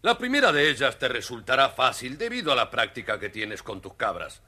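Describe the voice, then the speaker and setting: A man's voice: mid-range voice, sing-song, brisk, bright, forceful tone, exaggerated voice. A man playing a haughty, scheming fairytale king with a pompous, commanding delivery. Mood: stern, sly.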